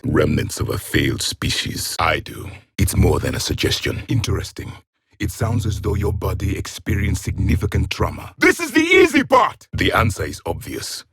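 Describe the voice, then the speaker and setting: A man's voice: low-pitched, wildly swooping pitch, moderate pace, a bit bright, breathy edge, no echo, studio quality, full character voice. A man playing a proud, stern alien warrior from an ancient race in a video game, speaking formally with haughty disdain. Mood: urgent, battle shouting. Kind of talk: video game character voice lines.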